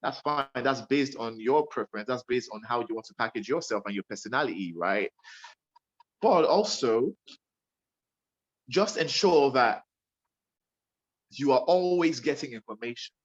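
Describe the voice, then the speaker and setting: A man's voice: mid-range voice, animated, normal speed, long pauses, muffled recording. A young man with a Nigerian accent, a radio presenter giving earnest, emphatic advice to a young interviewer and often repeating himself.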